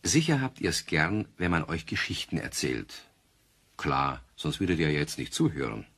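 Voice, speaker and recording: A man narrating a children's radio play as a storyteller, talking conversationally to young listeners with gentle, wry amusement. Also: very deep voice, very animated, moderate pace, slightly rough, exaggerated voice. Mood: wry, friendly.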